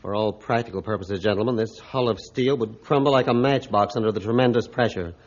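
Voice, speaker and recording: A man playing a middle-aged ship's captain, measured, formal and authoritative, in a 1950s radio drama. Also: fairly low pitch, lightly acted. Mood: grave. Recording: dull 1950s radio recording.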